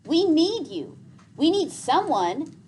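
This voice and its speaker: A woman's voice: sits high, big pitch swings, takes it slowly, warm tone, voice pushed up, full character voice. A woman voicing an anxious young boy hero from a storybook, urgent and exasperated. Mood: pleading. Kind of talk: storybook character reading. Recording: faintly noisy classroom recording.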